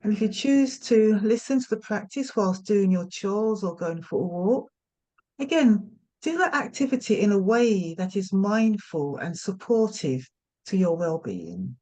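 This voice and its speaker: A woman leading a guided meditation, speaking in a gentle, nurturing way with unhurried invitations. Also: mid-range voice, sing-song, rough recording. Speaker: yoga nidra meditation guide.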